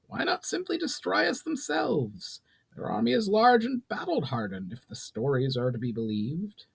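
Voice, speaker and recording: Man putting on a high-pitched proud goblin leader. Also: big pitch swings, normal speed, creaky, slightly rough, heavily acted. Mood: skeptical. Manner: formal, challenging speech. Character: goblin leader, male, suspicious, proud, questioning negotiator.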